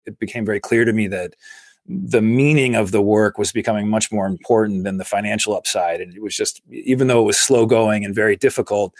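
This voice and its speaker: Low voiced guy from massachusetts, forties, talking slow and measured on an interview, dry close mic. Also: no echo. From Massachusetts, USA. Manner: measured, explanatory, steady. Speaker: guest, infrastructure investor. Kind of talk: conversational interview.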